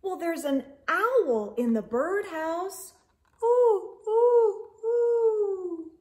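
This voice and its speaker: A middle-aged woman reading a picture book aloud to young children in an expressive, sing-song way. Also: very high voice, very slow. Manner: expressive, sing-song delivery with animal sounds and hushed asides. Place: living room or home library with bookshelves.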